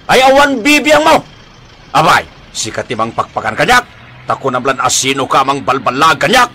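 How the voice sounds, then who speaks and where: A man's voice: medium pitch, very animated, moderate pace, sharp tone, slight background noise. A man with an Ilocano accent playing a hot-tempered, quarrelsome bully in a radio drama, scolding and exclaiming in bluster. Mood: threatening.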